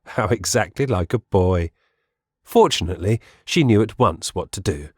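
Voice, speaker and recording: A man's voice: fairly low pitch, lively intonation, normal speed, darkish tone, slightly rough, no room sound, clean studio sound. A man reading scripted storybook narration as the narrator of an audiobook.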